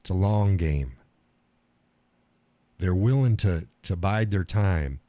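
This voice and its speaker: A blunt, profane man hosts a live stream, his voice dark and mellow, set a little low. He hammers points home by repeating himself and reads out viewer comments. The sound is close and dry. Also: expressive, slow, dry recording. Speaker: host of a live stream show.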